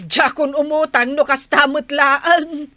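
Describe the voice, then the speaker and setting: A woman's voice: high-pitched, steady tone, takes it slowly, bright, forceful tone, dry recording, full character voice. A woman with an Ilocano accent acting a baffled, dismayed village woman in a comedy drama.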